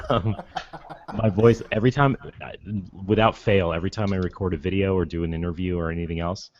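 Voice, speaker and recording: A hoarse man chatting casually on a studio microphone, easygoing and quick to laugh. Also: low-pitched, a little mellow, lo-fi sound. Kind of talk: casual group chat.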